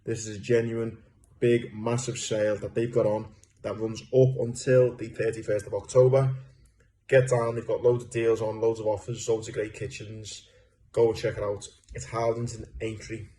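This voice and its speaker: Guy doing the ad read, low flat mellow voice, faint hiss behind. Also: moderate pace. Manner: salesy, repetitive. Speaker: podcast host reading sponsor ad. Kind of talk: read sponsor announcement.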